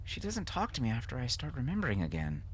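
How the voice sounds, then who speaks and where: A man plays a confused young boy in an audiobook. The voice is lifted high above a grown man's, anxious and pleading. It hesitates, then rushes on earnestly. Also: huge pitch swings, breathy edge. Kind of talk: audiobook character acting.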